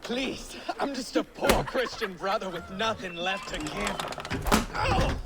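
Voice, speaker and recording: A high-pitched, cocky young Danish captain full of bawdy bravado. It is dramatic game dialogue with clear ambient noise behind. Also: male voice, animated, slow. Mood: pleading. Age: young adult.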